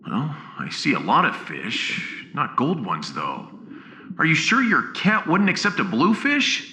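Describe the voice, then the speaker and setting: A man playing an excitable but wary human spaceship captain in a sci-fi audio drama, animated and exclamatory. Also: medium pitch, unhurried. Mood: wistful. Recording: echoey reverb with faint noise.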